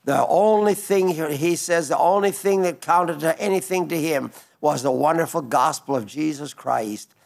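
Ninety-six-year-old man preaching gently. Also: on the high side, normal speed, vocal fry, hardly any pauses. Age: 90s. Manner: gentle, rambling with restarts. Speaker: radio preacher. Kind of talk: broadcast sermon reading listener letters.

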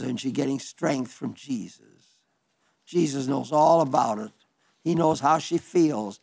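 An elderly male radio preacher with a slightly high voice, reading letters from listeners in a homespun sermon. He talks in a chatty, easygoing way with little stumbles and asides. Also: vocal fry. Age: older. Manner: chatty and warm-hearted, with small stumbles and asides.

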